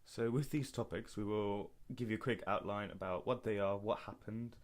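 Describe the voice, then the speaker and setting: A man's voice: on the low side, steady tone, normal speed. A young man from Berlin co-hosting a casual podcast, chatting informally to listeners. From Berlin, Germany. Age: young adult. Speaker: podcast co-host.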